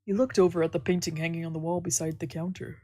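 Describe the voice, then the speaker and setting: A woman's voice: lowish voice, steady tone, fast talker, mellow, dry recording, faint music behind. A woman streamer reading a visual novel aloud as dramatic narration. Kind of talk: dramatic read-along narration with character dialogue.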